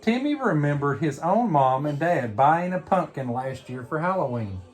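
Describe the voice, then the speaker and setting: A woman reading a picture book aloud to a young child, pausing to ask simple questions. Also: sits low, very animated, darkish tone. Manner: simple questions and prompts addressed to a small child. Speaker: bedtime story reader.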